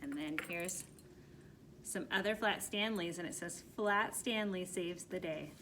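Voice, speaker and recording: A woman teacher reading a storybook aloud to young children and giving simple directions in an expressive way. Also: mid-range voice, a little hiss or hum.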